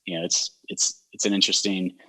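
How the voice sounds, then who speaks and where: A man hosting a local talk show, speaking in a relaxed, rambling way with many fillers and restarts and a neutral American accent. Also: low-pitched, fairly quick, poor audio. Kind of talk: casual interview chat.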